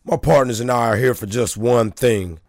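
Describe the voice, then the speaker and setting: A man voicing a tough wolf-man mercenary who speaks in a deep, serious, steady and threatening way. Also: moderate pace, no room sound, studio quality, natural voice. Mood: commanding. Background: background music.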